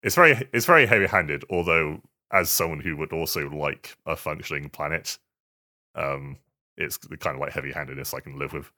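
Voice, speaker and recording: Very deep-voiced, bright, halting man. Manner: hesitant, halting delivery with repeated words. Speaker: podcast co-host. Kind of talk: casual video game podcast chat.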